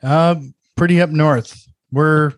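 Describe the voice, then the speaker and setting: A man's voice: on the high side, fairly even intonation, slow, no echo. A man from the northern US with a slight Canadian-sounding accent, hosting and asking casual, hesitant questions. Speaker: podcast host. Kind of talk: interview questions.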